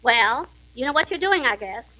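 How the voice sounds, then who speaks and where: A woman's voice: a little high, animated, normal speed, muffled recording, heavy background noise, close to an everyday voice. An actress playing a flippant, wisecracking young female dental nurse in a 1939 radio comedy.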